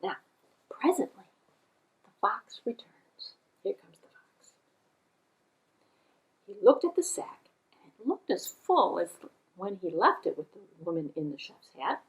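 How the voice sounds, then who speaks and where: Female children's puppet-show narrator: unhurried, lively intonation, deliberate repetition and friendly-listener asides. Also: medium pitch, low-quality recording. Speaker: children's library puppeteer and storyteller. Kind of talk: animated children's storytelling.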